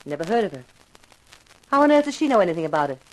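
Female as brisk, businesslike, doubting producer. Slightly low register, 1950s radio mystery style. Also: sing-song, rough recording. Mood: suspicious. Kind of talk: 1950s radio drama acting. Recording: vintage 1950s radio recording.

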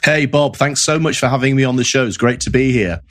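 A man's voice: medium pitch, brisk, a bit bright. A middle-aged man with a Northern English accent, chatting casually as an interview guest. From Northern England. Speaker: podcast guest, marketing professional.

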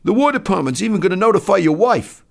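A man voicing a blunt, matter-of-fact enlisted soldier in an audiobook, respectful in form and flatly insistent. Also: sits high, fast, gravelly, voice pushed up, full character voice. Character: blunt, matter-of-fact enlisted army men addressing their superior.

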